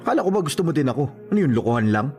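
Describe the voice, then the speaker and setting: Animated, lovelorn young Filipino man. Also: fairly high pitch, very fast, faint music behind. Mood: hurt, urgent. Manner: emotional, exclamatory, teasing. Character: young lovelorn man, teasing, pleading, secretly in love with Yuri. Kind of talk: Filipino radio drama acting.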